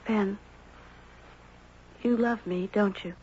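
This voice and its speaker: A woman's voice: mid-range voice, steady tone, slow pace, mellow, slight background noise, lightly acted. A woman playing a simple, candid, hesitant young girl in a 1970s radio drama. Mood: wistful, tentative. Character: young girl with a wild talent, candid, uncertain. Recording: dull, muffled 1970s radio broadcast.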